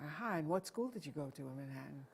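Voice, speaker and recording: A woman with a low-pitched voice hosts a talk show. She speaks conversationally, in deliberate and halting phrases, as an interviewer does with a guest. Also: lively intonation, gravelly, a little mellow, breathy edge.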